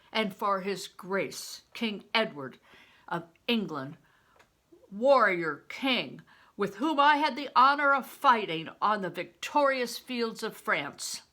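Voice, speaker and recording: A woman acts out a boisterous storybook juggler, a pious showman who proclaims himself loudly to a crowd. The delivery is slow and ceremonious, humbly grand, with a bright, forceful tone. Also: a little high, exaggerated voice. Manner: loud, declamatory, ceremoniously humble. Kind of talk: storybook character reading.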